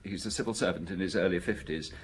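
A man's voice: deep voice, fast, a little hiss or hum, natural voice. A man playing an evasive, self-pitying middle-aged antique dealer in a radio drama, hesitant and stammering as he wheedles. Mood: anxious, pleading. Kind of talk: British radio drama acting.

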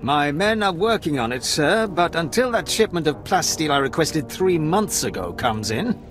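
A man's voice: fairly high pitch, big pitch swings, normal speed, fairly bright tone, faint music behind. A man playing a harried, affable army major in a video game, musing and eager to help in a conversational way. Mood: weary, placating. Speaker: military officer.

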